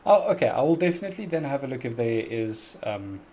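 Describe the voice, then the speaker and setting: A man's voice: mid-range voice, very animated, fairly quick, darkish tone. A South African man hosting a casual live-stream Q&A, chatty and earnest, who laughs often and pronounces his words very carefully. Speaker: music reaction YouTuber hosting a live stream.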